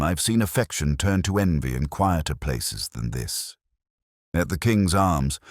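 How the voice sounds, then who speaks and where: A man playing a melancholy, introspective police detective, narrating a mystery in a measured, reflective manner. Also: a bass voice, dry recording, studio quality, full character voice. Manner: measured, reflective, literary phrasing. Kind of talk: scripted first-person narration of a detective mystery.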